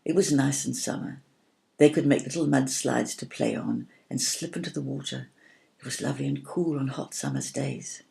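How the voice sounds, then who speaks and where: A woman's voice: very deep voice, very animated, normal speed, gravelly, dark tone, heavily acted. A woman voicing a firm, imaginative girl who tells a children's story patiently and dramatically. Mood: fond.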